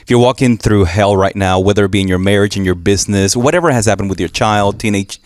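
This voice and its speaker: A man's voice: fairly low pitch, fast. A man from Puerto Rico with a Spanish accent, a guest telling stories in an earnest, encouraging way. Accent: Puerto Rican Spanish. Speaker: guest speaker. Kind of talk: motivational storytelling.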